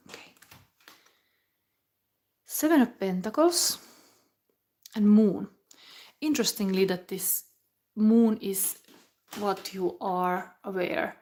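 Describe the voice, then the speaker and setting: A woman in her forties, giving a live tarot reading in a halting, musing way with soft whispered asides. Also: medium pitch, gravelly, a little hiss or hum. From Finland. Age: 40s. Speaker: tarot reader.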